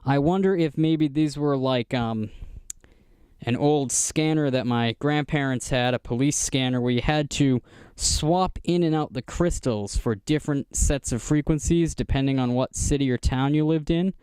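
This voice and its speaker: An American man giving a casual, unscripted show-and-tell narration in a halting, explanatory manner. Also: mid-range voice, a little mellow, smooth, no room sound, clean studio sound. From United States. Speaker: vlogger showing vintage radio gear.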